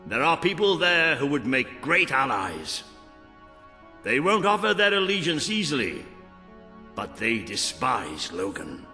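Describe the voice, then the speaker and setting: An actor playing a gruff, seasoned British soldier mentor in a video game, speaking bluntly and with command. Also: male voice, mid-range voice, huge pitch swings, measured pace, bright, forceful tone, in a large hall, faint music behind, exaggerated voice. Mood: urging, resolute. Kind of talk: video game cutscene acting.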